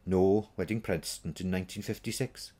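A man's voice: low-pitched, steady tone, moderate pace, dark tone. A man voicing a practical, teasing witch woman in a storybook, with a blunt, wry, no-nonsense manner. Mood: matter-of-fact. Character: brisk, practical, teasing witch woman, sharp-tongued friend.

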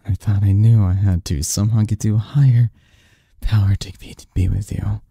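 A man playing a lovestruck young research assistant in an intimate ASMR romance roleplay, murmuring haltingly as he confesses to his best friend. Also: on the low side, steady tone, vocal fry, mellow, breathy edge, no echo, clean studio sound, heavily acted. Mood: wistful, regretful. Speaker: audio roleplay performer.

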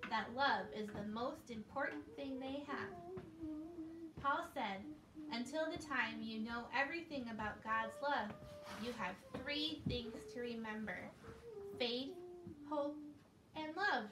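A woman hosting a children's show, speaking simply and encouragingly as she tells a scripted story in a living room. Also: a little high. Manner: simple, encouraging talk addressed to small children. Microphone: distant camera. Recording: echoey, noisy room, distant camera microphone.

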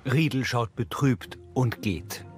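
A man's voice: medium pitch, slow pace. A man reading scripted audio description, narrating what happens in each scene. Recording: music under the voice.

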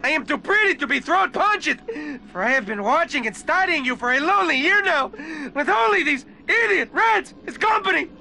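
An actor voicing a manic, whiny little cyborg henchman in a cartoon game, shrill, scratchy, melodramatic and childish. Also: lively intonation, moderate pace, gravelly, hardly any pauses, bright, forceful tone, exaggerated voice. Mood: frantic. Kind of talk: cartoon video game acting.

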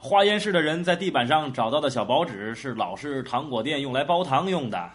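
A man's voice: mid-range voice, animated, a little fast, fairly bright tone, husky. An actor playing a businesslike male police officer reporting matter-of-factly in a radio drama. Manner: matter-of-fact. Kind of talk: Mandarin radio drama acting.

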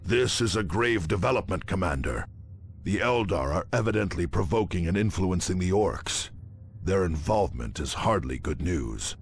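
Commanding, animated military officer. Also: male voice, low voice, normal speed. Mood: grave, thoughtful. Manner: formal, commanding. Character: grave, authoritative space marine senior officer. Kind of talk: video game character acting. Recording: loud music behind.

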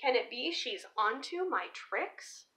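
Bright, high-pitched young woman presenting for children. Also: sing-song, slow pace. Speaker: teacher reading a picture book aloud for children. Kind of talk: storybook read-aloud and presentation for children. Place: small living room or room at home, indoors.

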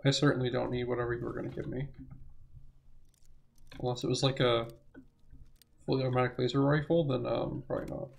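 Gravelly young man, hoarse gamer. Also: medium pitch, little pitch movement, a little fast, long pauses. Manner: rambling, self-correcting, laughs to himself. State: losing his voice. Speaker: gaming channel host. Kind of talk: casual let's play commentary.

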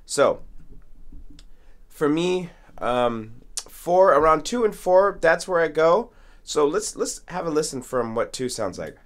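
A man's voice: fairly high pitch, sing-song, slow. A man in his thirties hosting an informal instructional tutorial, talking straight to viewers from a small studio. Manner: informal, addresses viewers directly. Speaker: music tutorial host, musician. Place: small home studio with acoustic foam. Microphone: studio condenser mic with pop filter.

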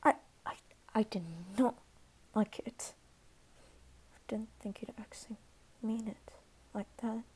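Woman as flustered teenage anime boy, guarded yet caring, unhurried, soft dark tone, stammering, ASMR roleplay. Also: a little high, little pitch movement, breathy edge. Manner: halting, stammering, dropping into whispers.

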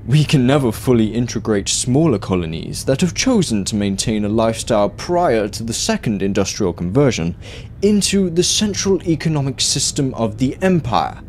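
A man's voice: medium pitch, very animated, moderate pace, darkish tone, slight background noise, close to an everyday voice. A man playing a stiff, pompous senator in a sci-fi audio drama, speaking formally. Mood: formal, self-assured.

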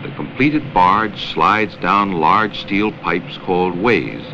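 Deep-voiced American educational film narrator, male. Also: background noise. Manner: measured, instructional delivery. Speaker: documentary narrator. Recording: dull vintage 16mm film soundtrack, music.

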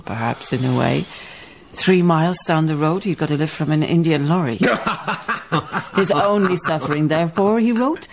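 An actress in a radio drama playing a dignified, thoughtful baroness, telling her tale in measured literary phrases. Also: sits low. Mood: storytelling. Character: reflective, dignified baroness, storytelling colonial farm owner. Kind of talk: radio drama acting and narration.

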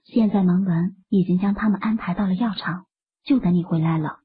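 An actress playing a composed, soft-spoken young wife who explains things in an urban fantasy audiobook. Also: female voice, on the low side, fairly quick, slightly rough. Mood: explaining. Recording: muffled, phone-like, lo-fi audio.

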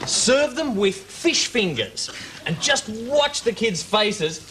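A man's voice: high voice, sing-song, slow pace. An Australian man presenting a mock cookery demonstration in a theatrical, mock-earnest way. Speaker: comedy TV cooking presenter. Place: TV studio kitchen set. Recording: noisy old TV audio, studio audience. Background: audience noise and laughter, clicks.

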